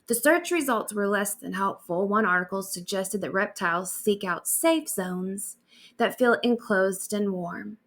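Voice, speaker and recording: A woman reading a bedtime story aloud as an expressive storyteller. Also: medium pitch, unhurried.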